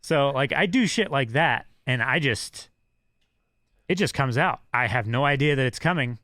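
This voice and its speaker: Bright-toned man chatting on a podcast. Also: medium pitch, animated, normal speed, no echo, clean studio sound. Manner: laughs often, explains things with confidence. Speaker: podcast co-host. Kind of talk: casual chat.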